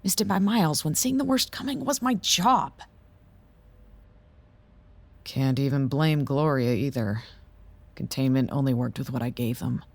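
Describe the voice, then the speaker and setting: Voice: medium pitch, animated, normal speed, no echo. An actor in an audio drama playing a weary, guilt-laden scientist and acting site director, dry and resigned. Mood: bleak, resigned.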